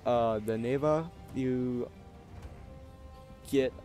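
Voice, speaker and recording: A man's voice: medium pitch, extremely slow, soft background music. A man casually walking through his game setup, rambling with fillers and correcting himself as he goes. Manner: relaxed, rambling, many fillers and self-corrections. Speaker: gaming YouTuber. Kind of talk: casual game deck walkthrough.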